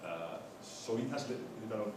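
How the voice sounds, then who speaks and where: A man giving an academic lecture in a halting, hesitant way, often repeating the starts of his words. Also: expressive. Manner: hesitant, halting delivery with stammered word repetitions. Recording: very echoey room, distant mic, noisy rumble.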